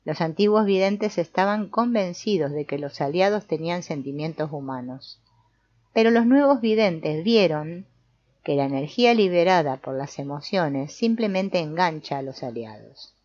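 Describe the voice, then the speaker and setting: Dull, dry recording of an even-pitched woman narrating. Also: normal speed, dry recording. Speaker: audiobook narrator. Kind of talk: scripted narration, reading a book aloud.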